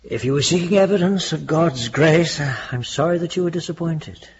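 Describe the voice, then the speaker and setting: Radio drama reverend: gentle, pious, old-fashioned, very husky, ringing in a reverberant space. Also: male voice, fairly high pitch, moderate pace. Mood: earnest. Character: kindly elderly country reverend, gentle and pastoral. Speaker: actor. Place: reverberant church on location. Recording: long echo, muffled, lo-fi.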